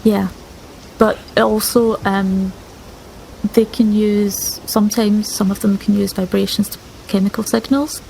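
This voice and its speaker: A woman with a medium-pitched, noticeably creaky voice talks as a player in a tabletop game. Her style is casual and explanatory, and there is faint noise behind her. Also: level tone, a little slow, a little mellow, rough recording.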